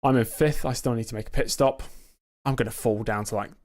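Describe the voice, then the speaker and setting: A young man casually commenting on his own racing game in a live stream, joking and self-mocking, quick to get excited. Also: medium pitch. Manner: quickly excitable under pressure.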